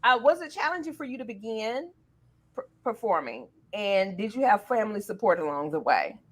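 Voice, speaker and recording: Lady hosting a casual talk show, pitch swings around a lot, playful and affirming with guests. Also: big pitch swings, normal speed.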